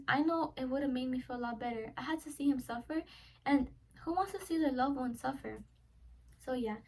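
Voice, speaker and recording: A high-pitched eighteen-year-old woman whose first language is Spanish delivers a prepared self-introduction for class. She speaks earnestly and often closes a thought with a quick "so yeah." She sits a little back from the microphone. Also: darkish tone. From United States. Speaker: college student. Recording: voice a little far from the mic.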